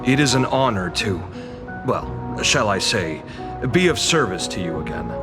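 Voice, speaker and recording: A man's voice: lowish voice, sing-song, a little slow. A man playing an obsequious, easily flustered escort and guide in a video game, speaking formally and deferentially. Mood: courteous, deferential. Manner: formal, deferential, fussily polite. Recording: music behind the voice.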